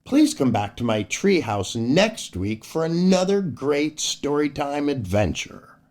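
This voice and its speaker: Animated older American man, higher-pitched storyteller. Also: slow pace. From United States. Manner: theatrical, addresses young listeners with questions. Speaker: children's storyteller and show host. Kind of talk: expressive children's story read-aloud.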